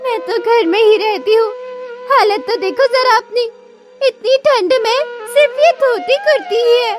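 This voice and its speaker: A woman's voice: very high-pitched, measured pace, voice pushed up. A woman voicing a caring, worried village wife in a cartoon, tender and concerned. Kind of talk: Hindi moral-story cartoon dialogue. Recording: loud music behind the voice.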